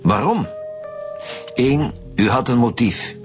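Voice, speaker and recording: Blunt Flemish chief detective over music. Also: male voice, medium pitch, expressive, slow pace. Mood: stern, sceptical. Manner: authoritative, blunt. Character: stern, sceptical chief homicide detective leading interrogations. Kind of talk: radio drama acting.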